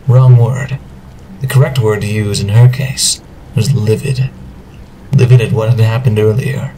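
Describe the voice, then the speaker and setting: A man's voice: lowish voice, dark tone, a little hiss or hum, poor audio. A man reading scripted narration for an amateur audio drama.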